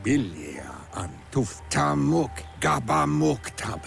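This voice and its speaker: A man voicing an old male mutant creature who talks in gravelly, babbling gibberish. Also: mid-range voice, very animated, slow pace, fairly bright tone, faint music behind, full character voice. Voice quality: gravelly, babbling nonsense speech.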